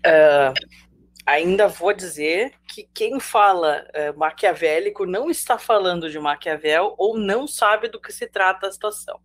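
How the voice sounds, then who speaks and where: A woman's voice: fairly low pitch, measured pace. A woman with a Brazilian Portuguese accent teaching a live online sociology class, chatty and jokey, talking straight to her students.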